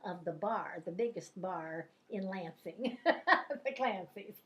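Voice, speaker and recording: A woman's voice: mid-range voice, expressive, takes it slowly, a bit bright. Older woman reminiscing in a rambling way. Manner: rambling anecdotal storyteller, many fillers and restarts. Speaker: grandmother telling life stories, retired teacher. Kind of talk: casual reminiscing interview.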